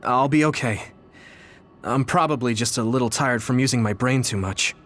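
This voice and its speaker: A man's voice: mid-range voice, normal speed, a bit bright, lightly acted. An actor playing an earnest, thoughtful young male soldier hero in a video game, speaking sincerely and reflectively. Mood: gentle, reassuring. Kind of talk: English video game voice acting, dramatic dialogue.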